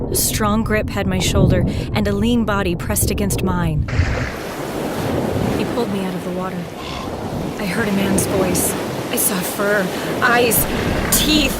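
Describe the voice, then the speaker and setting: An actress playing a haunted young nurse, narrating her ordeal gravely and vividly in a first-person audio drama. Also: medium pitch, natural voice. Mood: shaken. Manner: grave, measured, vivid storytelling. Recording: storm noise loud behind the voice.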